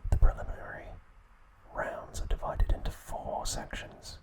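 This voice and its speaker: A man narrating scripted ASMR in a breathy, unvoiced whisper, with a measured, soothing delivery. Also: poor audio. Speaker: ASMR channel host.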